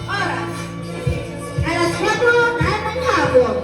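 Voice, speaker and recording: High-pitched female radio newsreader, very echoey. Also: big pitch swings, slow pace. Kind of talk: scripted radio news announcing. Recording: very echoey, distant, loud music behind.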